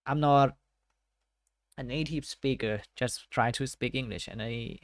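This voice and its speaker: A man's voice: medium pitch, no echo. A man with a Thai accent, talking casually through a study session and reading text aloud as he thinks. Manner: thinks aloud, reads text slowly, hesitant. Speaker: tech study vlogger. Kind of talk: casual self-study screen walkthrough.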